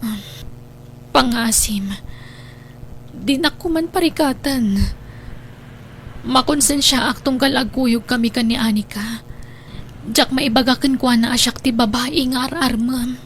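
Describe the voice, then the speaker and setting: A woman plays a shy, troubled young woman in a radio drama. She speaks slowly and haltingly in a lightly high voice, with faint noise behind. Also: lightly acted. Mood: nervous, reluctant. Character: hesitant, troubled young woman secretly courted by friend's father. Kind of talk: radio drama acting in Ilocano.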